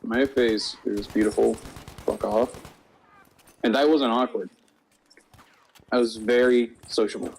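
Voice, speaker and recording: A man's voice: medium pitch, steady tone, measured pace, long pauses, slight background noise. A young man in casual conversation as a co-host, telling stories with swearing and joking banter. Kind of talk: casual storytelling chat over a game.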